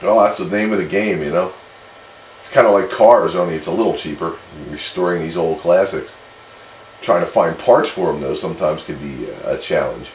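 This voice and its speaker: A man's voice: deep voice, normal speed. A man chatting casually about his hobby over the radio in a folksy, matter-of-fact way. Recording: echoey, noisy CB radio transmission.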